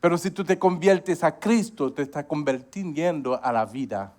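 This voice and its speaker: A male pastor delivers a sermon, his somewhat high voice swelling on emphatic points and sinking low at the ends of phrases. He keeps punctuating his preaching with amens. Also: normal speed, a little mellow. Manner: preaching with frequent amens, rising emphasis and sudden drops.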